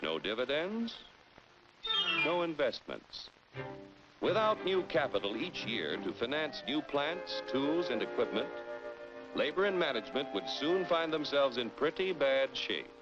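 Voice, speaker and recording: Confident american narrator for an old educational cartoon, very animated pitch, teachy and persuasive, muffled 1940s film sound. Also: male voice, measured pace. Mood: earnest, persuasive. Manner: didactic, persuasive, polished. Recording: muffled vintage film soundtrack, loud music.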